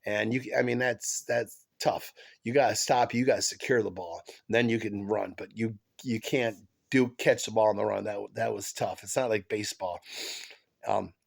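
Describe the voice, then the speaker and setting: A man with a head cold hosts a casual sports chat, his voice stuffy and nasal. He talks in a gravelly, creaky tone, stopping and restarting often. His delivery is halting and full of fillers. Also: on the low side. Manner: halting, many fillers and restarts, excited fan. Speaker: podcast host.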